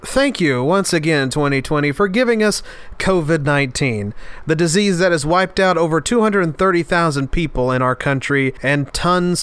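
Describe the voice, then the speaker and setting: A man's voice: a little high, a bit bright, smooth, no echo. A male podcast host speaking with a nasal, stuffed-up voice in an earnest, heartfelt, reflective monologue. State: stuffed up after an illness.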